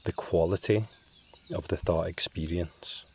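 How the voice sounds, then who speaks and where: A man guiding a meditation in a calm, measured way with long pauses. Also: low voice, a little hiss or hum. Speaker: meditation guide. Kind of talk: slow guided meditation.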